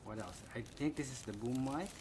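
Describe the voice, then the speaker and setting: A man with a Filipino accent giving a casual, halting unboxing commentary, thinking aloud as he goes. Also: medium pitch. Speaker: product reviewer. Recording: clear background room noise.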